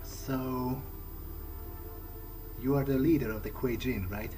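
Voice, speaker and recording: A man's voice: medium pitch, steady tone, slow, mellow. A man hosting a let's play, speaking conversationally in character in a hesitant, musing way. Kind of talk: in-character gameplay roleplay, conversational. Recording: faint game music behind. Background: game soundtrack.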